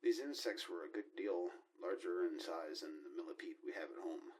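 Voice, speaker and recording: Male actor as sci-fi mission leader: very low pitch, hushed and measured, grave authority, audio-drama delivery. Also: close to an everyday voice. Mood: somber, concerned. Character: grave, cautious male leader of a spaceship expedition.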